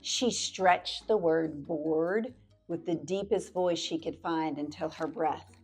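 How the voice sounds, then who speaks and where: A woman's voice: sits low, slow pace, darkish tone, husky, soft background music. A woman library storyteller reading a picture book aloud to children, stretching words out and dropping into playful whispered asides.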